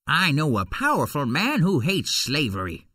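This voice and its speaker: A woman voicing a helpful gentleman in an audiobook story, calm, earnest and reassuring. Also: low voice, moderate pace, breathy edge, no room sound, studio quality, exaggerated voice.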